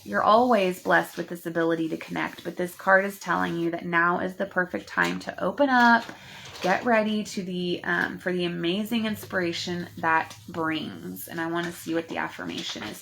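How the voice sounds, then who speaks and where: A woman's voice: lowish voice, normal speed, vocal fry, fairly bright tone, slight background noise, poor audio. A woman coach hosting a live stream, chatting to viewers with many fillers and reading oracle cards aloud. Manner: chatty, rambling, filler-heavy, affectionate. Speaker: spiritual mindset coach.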